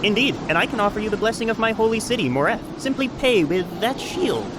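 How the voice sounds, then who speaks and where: A greedy old holy man of fantasy audio comedy. He is pompous and wheedling, with clear outdoor noise behind him. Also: high voice, expressive, fast. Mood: pompous, grasping. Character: pompous, greedy old high priest and coin master.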